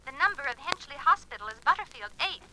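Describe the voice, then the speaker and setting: A woman telephone operator with a piercingly bright, high voice. She speaks in clipped, mechanically courteous formulas, as in an old 1940s radio play. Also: very animated, fast, slight background noise, full character voice, rough recording. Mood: impersonal courtesy. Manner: clipped, formulaic, precise diction. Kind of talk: 1940s radio drama acting.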